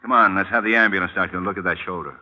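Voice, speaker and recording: Guy as a gruff police lieutenant, doubtful, old radio show, long echo and muffled hissy sound. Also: deep voice, steady tone, very fast, loud music behind the voice, natural voice. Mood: brusque, skeptical. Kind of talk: old-time radio crime acting. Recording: echoing, muffled, noisy old radio recording.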